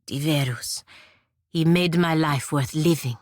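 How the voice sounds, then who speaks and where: Proud fantasy game warrior, low voice, grave and formal, stern, very dry close recording. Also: female voice, a little slow, a little mellow, dry recording. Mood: earnest. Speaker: video game character voice actor. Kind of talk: scripted video game dialogue.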